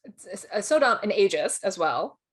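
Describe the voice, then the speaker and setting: A woman's voice: mid-range voice, expressive, moderate pace, breathy edge. A woman co-hosting a casual chat about film awards in an informal, conversational way. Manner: laughs often while talking. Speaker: awards podcast co-host and pundit.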